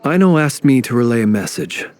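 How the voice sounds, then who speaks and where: An actor playing an ancient, dignified fae warrior in a video game, thoughtful and courteous, with formal phrasing. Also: male voice, medium pitch, animated, brisk, a little mellow, a little hiss or hum, lightly acted. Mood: businesslike. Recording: music underneath.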